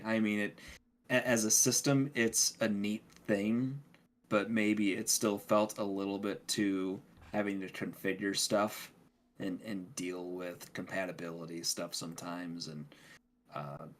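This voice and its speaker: A man co-hosting a podcast in casual chat, thinking aloud in a halting, hedging way. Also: lowish voice, fairly even intonation. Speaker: podcast co-host.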